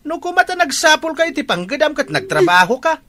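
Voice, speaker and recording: A man's voice: very high voice, big pitch swings, fast, sharp tone, full character voice. An actor playing an angry, scornful father in a Filipino radio drama, with an Ilocano accent. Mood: furious. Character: angry, scornful father scolding his daughter.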